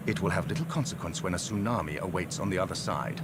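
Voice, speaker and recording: A man's voice: sits low, fast talker, heavy background noise. An actor playing an aging, erudite British occult scholar in a game cutscene, theatrical and pompous in his delivery. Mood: ominous, dramatic. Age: older. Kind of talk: scripted video game cutscene dialogue.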